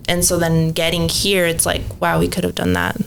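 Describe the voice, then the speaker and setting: Chatty woman guest with a gravelly voice. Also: lowish voice, big pitch swings, normal speed, a little hiss or hum. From Mexico, raised in Phoenix. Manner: chatty, many ums and likes. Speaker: podcast guest, cleaning business owner. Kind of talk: casual interview chat.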